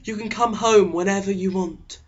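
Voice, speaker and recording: A man's voice: high-pitched, fairly even intonation, moderate pace, voice pushed up. A man voicing a loving, middle-aged mother who pleads and insists, in an audiobook reading. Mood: insistent. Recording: dull, lo-fi sound.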